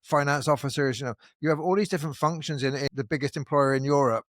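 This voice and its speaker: A man hosting a conversational podcast, talking in an animated way with frequent restarts and fillers. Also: a little high, fast, no room sound, clean studio sound. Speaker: podcast host. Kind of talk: casual conversational interview.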